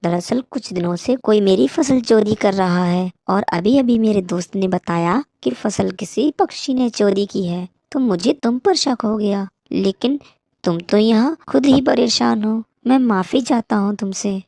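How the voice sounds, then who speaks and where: A woman's voice: medium pitch, unhurried, creaky, mellow, no echo, clean studio sound. A woman voicing a worried, polite male rabbit farmer in a cartoon moral story, pleading with friends. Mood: apologetic.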